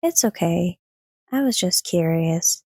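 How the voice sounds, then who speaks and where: A woman playing a sweet, trusting young daughter in an audio drama, speaking gently and earnestly. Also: on the low side, lively intonation, takes it slowly, dry recording, studio quality, heavily acted. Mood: casual, friendly. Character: sweet, trusting daughter, child to mid-teens, telling family stories.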